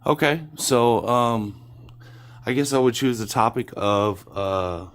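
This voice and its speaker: A man in his forties calling in to a political stream, talking haltingly with many fillers. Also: medium pitch, fairly bright tone. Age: 40s. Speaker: caller. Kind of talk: political call-in discussion.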